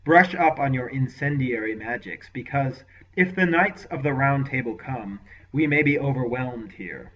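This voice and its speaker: A man's voice: medium pitch, expressive, moderate pace, fairly bright tone, pitched above the performer's own voice. A man voicing a ruthless, scheming lord who speaks with commanding contempt in an audiobook. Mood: imperious scheming. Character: ruthless scheming male warlord lord, venomous and imperious. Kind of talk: audiobook character voice. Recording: lo-fi dull recording.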